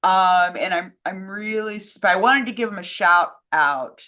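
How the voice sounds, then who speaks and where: A woman's voice: mid-range voice, moderate pace, pushed and bright. A woman co-hosting in a casual chat, speaking in a hesitant, slightly apologetic way. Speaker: co-host relaying audience questions and comments.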